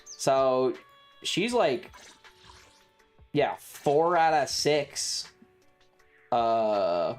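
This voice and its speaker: Casual game streamer: unhurried, rambling, wry and sarcastic, very lively intonation, faint music underneath. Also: medium pitch. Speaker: livestreamer. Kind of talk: casual livestream chat while gaming.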